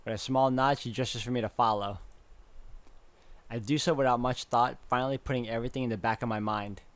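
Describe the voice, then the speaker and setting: A man's voice: mid-range voice, steady tone, brisk, no room sound, natural voice. A man playing a brooding, uneasy protagonist, narrating a visual novel in a dramatic, introspective first-person style. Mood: introspective, doubtful.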